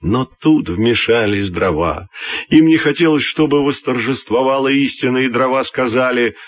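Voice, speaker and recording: A man narrating a scripted fairy tale for children's radio in an expressive, theatrical storyteller's manner. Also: mid-range voice, moderate pace, a little hiss or hum.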